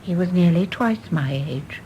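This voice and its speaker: A woman playing a composed, wistful and candid English widow in a radio drama, with an English accent and a measured, genteel manner. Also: on the low side, expressive, noisy background. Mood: candid, curious. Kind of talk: British stage-play radio drama acting.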